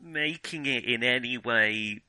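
Bright, forceful man talking films. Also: medium pitch, a little slow, dry recording, rough recording. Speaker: film podcast host. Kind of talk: casual film discussion.

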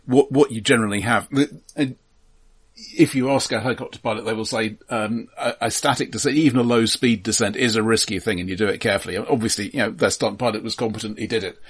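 Husky, stammering man explaining things. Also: fairly low pitch, fairly even intonation, quick pace. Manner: hesitant, stammering, repeats words; pedantic, explanatory. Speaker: film podcast co-host. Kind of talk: casual film discussion with explanatory tangents.

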